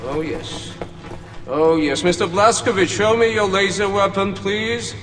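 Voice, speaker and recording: An actor playing an eccentric older scholar of a secret order in a game cutscene, with a halting, repetitive way of talking. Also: male voice, high-pitched, background noise. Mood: reverent, excited. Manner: halting, repeats words, excitable. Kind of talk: video game cutscene acting. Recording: echoey vault, distant, loud music behind.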